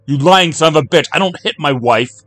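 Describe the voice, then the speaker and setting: A man voicing a violent, bitter middle-aged brawler who shouts coarsely. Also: fairly high pitch, a little fast, no room sound, pitched above the performer's own voice, close to an everyday voice. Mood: furious. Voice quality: shouting, coarse and rough-tongued.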